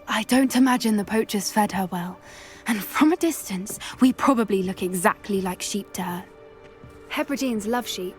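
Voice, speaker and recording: A young woman playing an earnest, gentle teenage witch who loves animals in a video game, with a British accent and an expressive delivery. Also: medium pitch, moderate pace, soft background music, natural voice. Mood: hushed curiosity.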